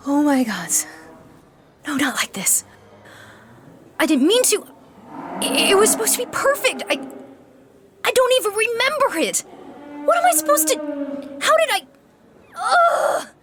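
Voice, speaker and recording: An actress playing an anxious, mortified young woman in an audio drama, speaking in halting, dramatic groans. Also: very high-pitched, sing-song, noisy background, heavily acted. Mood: mortified, distraught.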